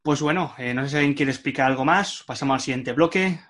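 A man's voice: mid-range voice, fast talker, fairly bright tone. A man hosting an online workshop for teachers, chatty and hesitant with many fillers. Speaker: workshop host for Spanish teachers. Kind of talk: casual online workshop talk.

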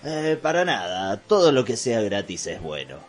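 A man playing a bumbling impostor posing as a landowner in a comic radio play, halting and evasive. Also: on the low side, very animated. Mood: awkward.

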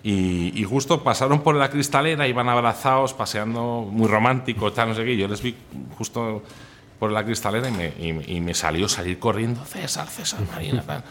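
A man's voice: mid-range voice, a little fast, fairly bright tone. A man with an accent from Spain, chatting candidly and colloquially as a guest in a casual interview. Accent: Spanish from Spain. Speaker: interview guest, food recommendation influencer. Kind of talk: casual interview chat, anecdotes.

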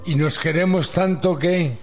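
A man's voice: fairly high pitch, unhurried, soft background music, close to an everyday voice. A man playing a brave young warrior in love in an amateur radio play, asking earnest questions. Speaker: amateur radio drama actor.

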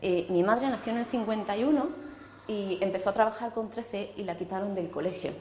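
A woman's voice: mid-range voice, brisk, warm tone, roomy sound, a little hiss or hum. A woman in her forties with a Spanish accent, giving a casual conference talk, chatty with many fillers and restarts. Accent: Spanish from Zaragoza. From Spain. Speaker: conference speaker. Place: conference hall.

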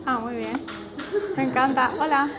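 A woman's voice: high voice, steady tone, normal speed, muffled recording, over a noisy crowd. A woman from Málaga leading an informal group workshop, encouraging people and prompting them with questions. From Málaga, Spain. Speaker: workshop leader.

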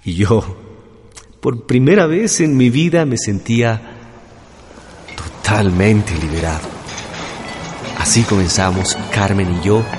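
A man's voice: on the low side, takes it slowly, long pauses, big-room sound, over music. A man playing an earnest, passionate young teacher in a radio drama. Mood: reflective narration. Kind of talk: Spanish-language radio drama acting.

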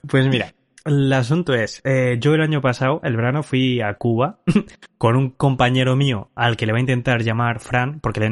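A man from Spain telling a long travel anecdote in a casual chat, laughing often and swearing casually. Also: mid-range voice. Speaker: guest storyteller.

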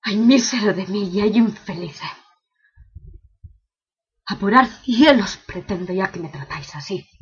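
A woman's voice: mid-range voice, animated, a little slow, pauses often, slightly rough, highs cut off. A woman playing an anguished imprisoned prince in a declamatory, impassioned classical verse monologue, bitterly questioning heaven. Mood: anguished lament.

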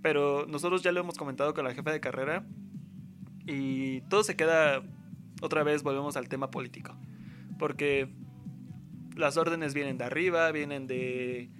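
Slangy young Mexican man, slightly high voice. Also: steady tone, normal speed, pauses often, over music. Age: young adult. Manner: slangy, rambling, acts out quoted speech. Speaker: university student. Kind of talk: casual conversation.

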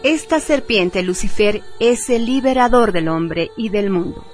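A woman's voice: mid-range voice, lively intonation, unhurried. Solemn female narrator with music behind. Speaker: radio show narrator. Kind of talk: scripted narration, documentary reading.

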